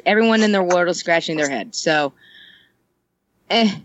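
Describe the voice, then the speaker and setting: A woman's voice: lowish voice, expressive, moderate pace, a little hiss or hum. Rambling, joking woman, muffled sound. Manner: rambling, joking, laughs often. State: ill. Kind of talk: casual chat.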